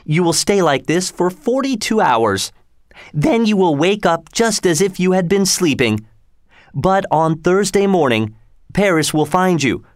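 A man reading aloud, voicing an old friar who calmly lays out a plan. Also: high voice, unhurried, no echo, studio quality. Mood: instructing. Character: elderly male friar, calm and scheming.

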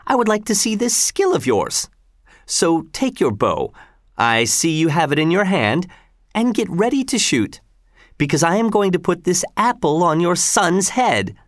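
Casting: audiobook reader as imperious, cruel male governor; mocking, lifted pitch with big swings, dry sound. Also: big pitch swings, unhurried, no echo. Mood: menacing. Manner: mocking, commanding, falsely reasonable.

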